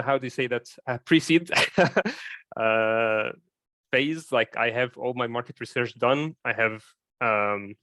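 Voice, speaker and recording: A man's voice: medium pitch, a bit bright, smooth, no echo. Hesitant man from Egypt, dry sound. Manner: hesitant, many fillers and restarts. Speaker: startup founder. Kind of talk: introducing himself in a live online Q&A.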